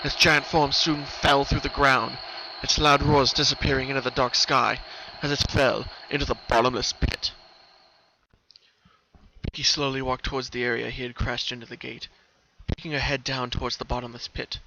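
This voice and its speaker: Bright-voiced man narrating a dramatic story. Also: mid-range voice, flat delivery, normal speed, slight background noise. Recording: slightly muffled lo-fi home recording.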